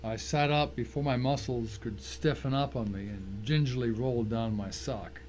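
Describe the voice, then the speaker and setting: A man narrates a suspense story in the first person, with a mid-pitched voice. He reads from a script in a dramatic way, and there is faint noise behind him. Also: moderate pace, darkish tone.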